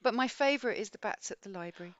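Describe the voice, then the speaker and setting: A woman's voice: medium pitch, animated, fast talker, no room sound, dull audio. A woman co-hosting a podcast, talking casually and enthusiastically about books in a chatty, rambling way. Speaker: podcast co-host. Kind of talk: casual book chat.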